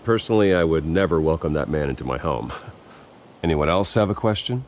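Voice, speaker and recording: An American actor playing a composed, wealthy philanthropist in a video game, speaking in a measured, earnest and proud way. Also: male voice, rumbling low, expressive, a little mellow, slight background noise. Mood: defensive, indignant. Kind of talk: video game voice acting, public speech.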